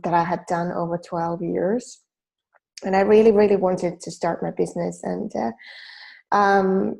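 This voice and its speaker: A woman's voice: fairly low pitch, creaky, a little mellow, rough recording. A woman from Finland in her forties with a Finnish accent, giving a self-help training talk as a life coach, earnest and full of fillers and restarts.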